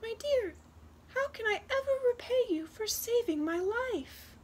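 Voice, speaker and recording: Storybook wren played by a woman: tiny magical bird, kindly and gracious, very high voice, lively swoops. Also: a little slow. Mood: grateful. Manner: gentle, gracious, formal storybook speech. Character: tiny enchanted female wren, kindly, cheerful, grateful.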